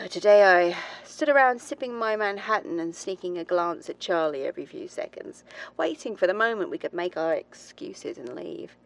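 A woman's voice: mid-range voice, lively intonation, normal speed, vocal fry, low-quality recording. An actress playing a frustrated, dry-witted woman physicist, confiding precisely and wryly in a recorded diary for an audio drama. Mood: wry, weary. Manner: wry, clipped, confiding, precise.

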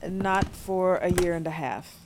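Curt sarcastic young woman, slow talker, faint hiss behind. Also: lowish voice. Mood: dry. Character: guarded young woman returning home. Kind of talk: audio drama acting.

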